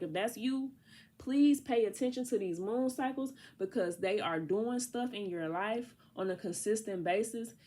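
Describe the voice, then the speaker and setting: A woman giving a casual tarot reading. She sounds congested from allergies and talks to the viewer in a chatty, slangy way. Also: on the low side. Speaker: tarot reader.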